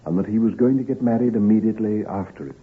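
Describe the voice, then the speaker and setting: An actor playing an anguished, secretive young doctor aged before his time, with grave, halting, melodramatic delivery in an old-time radio drama. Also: lowish voice, natural voice. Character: anguished, prematurely aged doctor, not yet thirty, secretive, self-reproaching. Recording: muffled, narrow-band old radio recording.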